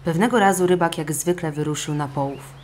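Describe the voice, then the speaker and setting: A woman narrating a children's fairy tale as an audiobook, in expressive storytelling style. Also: low-pitched, fairly quick, slight background noise.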